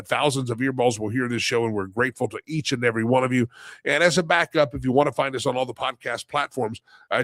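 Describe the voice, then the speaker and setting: A male talk show host rambles through his live stream, slightly nasal from a head cold, dropping fillers as he goes. His voice sits at an ordinary pitch and sounds close and dry, with almost no room around it. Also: a bit bright, no echo.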